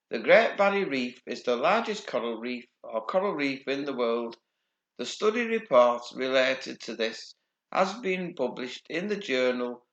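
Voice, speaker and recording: Man, slow and sardonic, reading news with dry commentary; echoey, lo-fi. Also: medium pitch, a bit bright. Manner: dry, sardonic, swears casually. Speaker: channel host.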